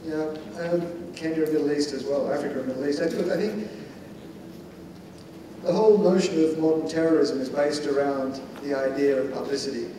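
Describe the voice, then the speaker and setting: A man giving a considered, discursive panel answer in a measured, explanatory and opinionated way. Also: a little high, fairly even intonation, warm tone. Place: large indoor conference hall. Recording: echoey hall, distant mic, faint noise.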